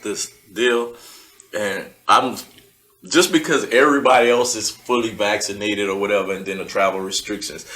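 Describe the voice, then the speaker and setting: Low-pitched man with a bright, forceful tone. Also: steady tone, normal speed, poor audio. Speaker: podcast co-host. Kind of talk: casual chat.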